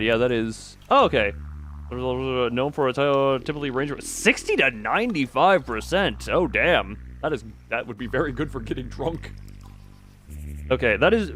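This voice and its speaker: A man livestreaming a game with casual, excitable commentary, rambling and thinking aloud to himself. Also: medium pitch, slight background noise. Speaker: game streamer. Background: music.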